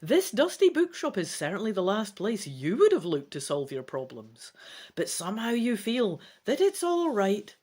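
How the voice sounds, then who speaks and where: A woman's voice: mid-range voice, huge pitch swings, moderate pace, a little mellow, husky. A woman reading a fantasy gamebook aloud as a dramatic narrator. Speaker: gamebook reader.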